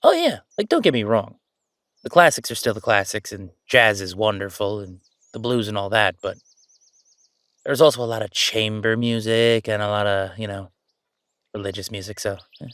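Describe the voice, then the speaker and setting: A man's voice: on the low side, animated, normal speed, a bit bright, dry recording, studio quality. A man playing a chatty, easygoing ghost who died at thirty-five, rambling casually with wry asides in an audio roleplay. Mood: easygoing, opinionated. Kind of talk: conversational audio roleplay monologue.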